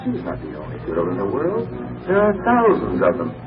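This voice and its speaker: A man's brooding inner thoughts in a forties radio drama, nagging and insistent. The low voice swings widely in tone, with loud music over it. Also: wildly swooping pitch, normal speed. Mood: nagging, consoling. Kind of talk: 1940s radio acting. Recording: distant dull radio sound, loud music behind.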